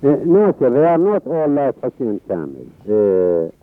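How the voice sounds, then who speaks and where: A man of medium pitch answers as an interview guest. His voice comes through a narrow, phone-like band. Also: sing-song, measured pace, no room sound. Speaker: interview guest, political opposition member. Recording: dry, narrow phone-like sound.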